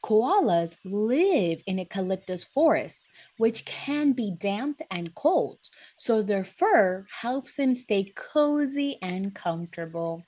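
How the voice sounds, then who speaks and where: A woman voicing a wise old wombat in a children's storybook, explaining things in a measured, patient way. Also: medium pitch, very animated, mellow. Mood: patient, informative.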